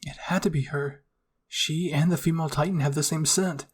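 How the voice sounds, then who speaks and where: Male narrator for ASMR fan fiction, higher-pitched, husky tone. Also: fairly even intonation, moderate pace.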